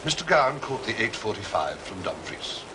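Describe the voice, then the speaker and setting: An actor playing a self-important, discreet butler in a period detective drama, formal and condescending in manner. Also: male voice, fairly low pitch, sing-song, normal speed, background noise. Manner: formal, pompous, deferential to authority.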